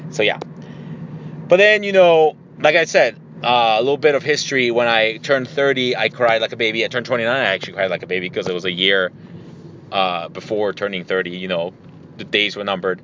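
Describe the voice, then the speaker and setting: A man's voice: on the low side, fairly quick. A man in his thirties or older talking casually to himself as a podcast host, in a rambling, conversational, mildly profane way. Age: 30s or older. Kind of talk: casual solo monologue, personal anecdotes. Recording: muffled lo-fi audio, loud car noise. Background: loud vehicle and road noise.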